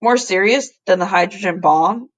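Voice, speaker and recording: A woman's voice: medium pitch, moderate pace, natural voice. A woman voicing a polite, thoughtful, curious schoolboy in a storybook, speaking a little tentatively.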